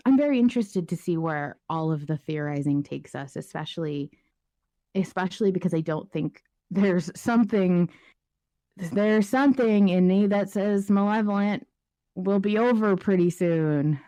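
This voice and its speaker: A woman's voice: lowish voice, dark tone, dry recording. A woman hosting a casual podcast discussion, chatting informally with her guests. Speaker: podcast host.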